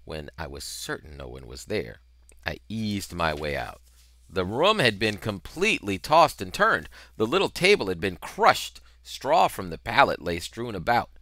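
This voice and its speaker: A middle-aged man narrating a novel in an expressive, dramatic style, with emphatic, deliberate phrasing on a headset mic. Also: deep voice, no room sound. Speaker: reader of a children's novel.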